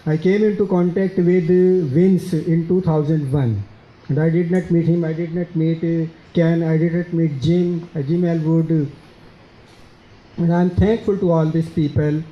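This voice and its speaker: A man with a Pakistani accent answers audience questions at a conference, speaking haltingly with many fillers and repeated words. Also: on the high side, steady tone. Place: conference hall. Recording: dull, echoey conference hall.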